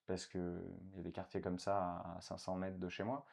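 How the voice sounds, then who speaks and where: A man's voice: deep voice, monotone, normal speed, creaky, a little mellow. Hesitant man in a casual interview. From France. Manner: hesitant, many fillers and restarts. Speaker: interview guest.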